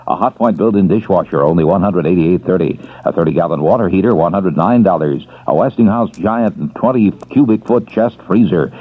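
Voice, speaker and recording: A man reading radio commercials in a brisk, upbeat selling voice. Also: low voice. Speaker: radio ad announcer. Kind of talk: commercial voice-over. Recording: old lo-fi radio broadcast with music.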